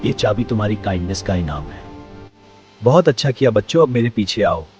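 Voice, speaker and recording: A man voicing a wise talking owl, the solemn guardian of a palace, speaking in a measured, instructive way for a children's cartoon. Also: lowish voice, expressive, a little mellow, close to an everyday voice. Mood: solemn, welcoming. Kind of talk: children's moral cartoon story acting. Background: music.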